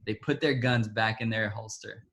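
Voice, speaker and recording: Soft-spoken Ethiopian-born man telling his story. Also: fairly low pitch, steady tone, a little hiss or hum. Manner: halting, many restarts, soft trailing asides. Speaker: interviewee. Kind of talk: personal storytelling in conversation.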